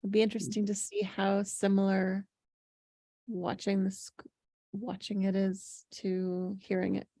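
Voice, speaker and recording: A woman at a table read sharing her thoughts on a script in a soft, hesitant way. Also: mid-range voice, flat delivery, a little slow, no room sound. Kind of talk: casual discussion of a script.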